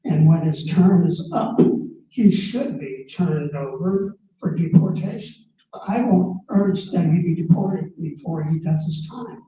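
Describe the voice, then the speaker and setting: A man running for district attorney, giving a measured, firm answer at a forum. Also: fairly high pitch, warm tone. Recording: echoey hall, distant muffled mic.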